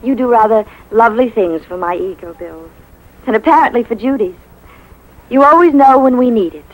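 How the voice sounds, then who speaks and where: A woman playing a gentle, wistful young mother in a 1950s radio drama, speaking sincerely. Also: a little high, lively intonation, normal speed, rough recording. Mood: tender, hopeful. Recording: vintage 1950s radio recording.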